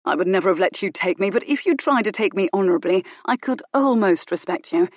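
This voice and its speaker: A woman voicing a gentleman hero who threatens with glacial politeness. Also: mid-range voice, animated, a little fast, no room sound, studio quality, close to an everyday voice. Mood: icy menace. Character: cold, menacing young gentleman hero, icily polite.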